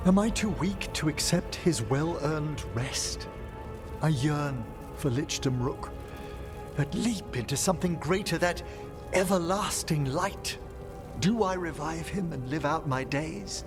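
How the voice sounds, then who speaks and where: An actor playing a refined, earnest necromancer scholar in a fantasy game, eloquent and formal with a tender manner. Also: male voice, a little high, animated, a little slow, over music. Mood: torn, yearning.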